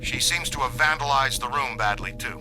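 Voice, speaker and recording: A man voicing an eager, friendly ghost hunter in a video game, conversational and animated. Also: mid-range voice, a little fast, close to an everyday voice, low-quality recording. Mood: concerned, informative. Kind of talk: video game character acting. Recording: loud game music behind.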